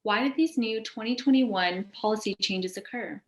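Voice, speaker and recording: A woman's voice: mid-range voice, normal speed. Woman presenter in an echoey room. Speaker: host of a patient advocacy show. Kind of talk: scripted presentation with question prompts.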